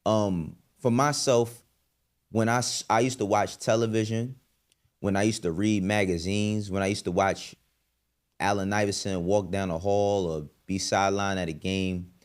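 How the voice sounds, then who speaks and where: Low-voiced New York man giving commentary. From the Bronx, New York. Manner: emphatic, street slang, profane, rhetorical questions. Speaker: commentary show host. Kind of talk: opinionated casual commentary.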